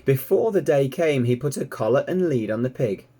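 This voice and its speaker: A man narrating a children's story in an expressive read-aloud style. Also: medium pitch, moderate pace, husky. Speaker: storybook reader, teacher.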